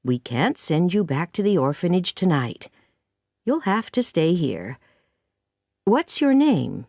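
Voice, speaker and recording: A woman's voice: fairly low pitch, big pitch swings, slow, mellow, no room sound, clean studio sound, full character voice. A woman doing a stern, practical farm woman. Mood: surprised.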